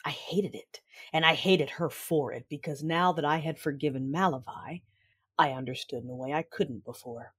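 A woman acting a proud, vengeful Sith commander and mother in an audio drama, narrating and speaking in a formal, measured, commanding way. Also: low-pitched, husky. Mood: brooding. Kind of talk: first-person audio drama narration and dialogue.

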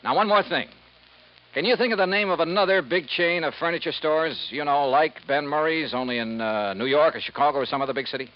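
An actor playing a brisk, wily insurance investigator in a 1950s radio drama, punchy and wry. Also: male voice, a little high, natural voice. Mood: brisk, eager. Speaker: lead of a detective radio serial. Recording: muffled, noisy 1950s radio recording.

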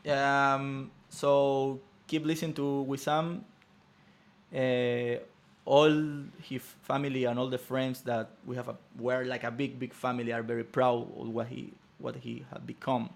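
A man's voice: medium pitch, level tone, measured pace, creaky. A man in his thirties whose first language is Spanish, answering as a podcast guest in short, simple phrases. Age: 30s. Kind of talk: casual interview chat.

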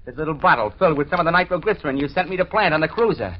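A man's voice: on the high side, expressive, rapid-fire. Defiant male crook, American, edgy blustering delivery, 1940s radio drama, muffled old recording. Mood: defiant, desperate. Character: desperate, defiant male saboteur.

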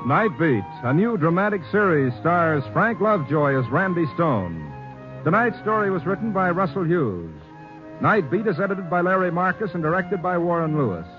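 A man's voice: high voice, very animated, normal speed. A man with an American accent announcing on 1950s radio, reading scripted promotion with polished, emphatic delivery. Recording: muffled 1950s radio recording, music behind.

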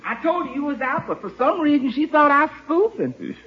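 An actress playing a good-natured, practical housekeeper with a folksy, frank but deferential manner in a 1950s radio comedy. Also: female voice, high voice, lively intonation, a little fast, slight background noise. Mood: frank, concerned. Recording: muffled vintage 1950s radio recording.

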